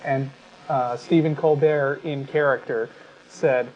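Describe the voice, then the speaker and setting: A man's voice: medium pitch, steady tone, takes it slowly. A man co-hosting a talk show, mixing conversational commentary with passages read aloud. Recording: dull lo-fi audio with clear background noise.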